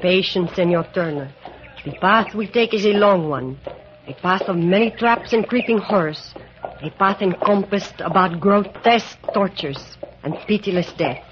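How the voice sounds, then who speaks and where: A woman's voice: mid-range voice, moderate pace, close to an everyday voice. Ominous guide woman played by an actress. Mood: foreboding. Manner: grave, formal, foreboding. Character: ominous Spanish guide woman, grave and pessimistic. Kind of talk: old-time radio drama acting. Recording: muffled, noisy vintage radio recording.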